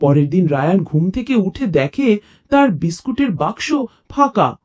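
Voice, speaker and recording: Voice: mid-range voice, sing-song, normal speed, darkish tone, muffled recording. A storyteller reading scripted funny stories for children.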